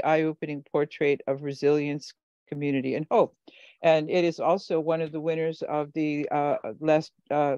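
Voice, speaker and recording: A woman's voice: deep voice, mellow, no echo. Dry, lo-fi woman host on a video call. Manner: hesitant, many fillers and restarts. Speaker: workshop host. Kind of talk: moderating an online workshop.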